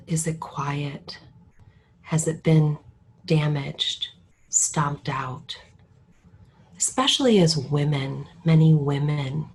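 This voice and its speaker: A woman leading a guided meditation in a calm, unhurried way, her voice drifting between a soft murmur and a whisper. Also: sits low, a little hiss or hum, poor audio.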